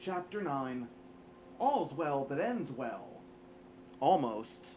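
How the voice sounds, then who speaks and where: Man in his thirties, story-time host, highly expressive pitch, theatrical read-aloud, dull lo-fi recording. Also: music in the background. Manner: expressive, theatrical emphasis with drawn-out pauses. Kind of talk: animated children's audiobook-style read-aloud. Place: small furnished room at home, indoors.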